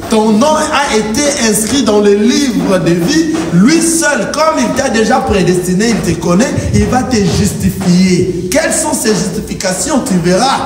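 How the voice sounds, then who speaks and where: High-voiced preaching man in echoey hall. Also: lively intonation, measured pace, few pauses, over music. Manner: emphatic preaching with call-and-response. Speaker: pastor. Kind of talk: sermon. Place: church hall. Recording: echoey hall, distant mic, faint noise. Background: congregation answering amen.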